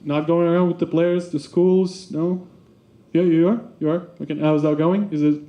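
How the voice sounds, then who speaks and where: A man presents his basketball club's work at a seminar. His voice is dark and mellow and sits a little high for a man. He talks in a halting way, with plenty of fillers and restarts. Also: steady tone. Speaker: basketball club representative presenting.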